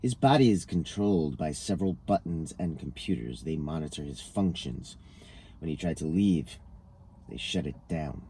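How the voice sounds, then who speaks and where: Very bright, very deep-voiced man reading. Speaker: reader of a written transcript. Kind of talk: scripted reading of an interview dialogue. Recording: noticeable background noise.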